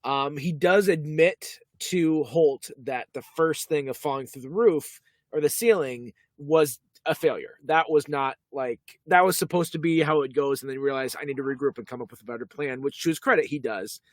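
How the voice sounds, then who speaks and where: A man co-hosts a casual podcast, talking through a TV episode. His voice sits a little high, and he animates his retelling with quick character voices. The sound is dry and close. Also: no echo. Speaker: podcast co-host. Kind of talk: casual chat about a TV episode.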